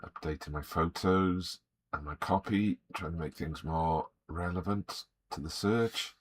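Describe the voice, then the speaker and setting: A middle-aged Welsh man with a slightly croaky, sore throat, chatting easily and greeting viewers by name while he draws on a live stream. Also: deep voice, a little slow. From Wales. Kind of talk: relaxed live-stream chat while drawing.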